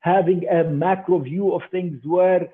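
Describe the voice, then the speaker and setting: An older man speaks as the guest in an interview, emphatic, restarting and repeating words. His voice is a bit husky and slightly high for a man. The audio sounds thin and phone-like, as if sent over a remote call. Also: steady tone, measured pace. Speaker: investor guest.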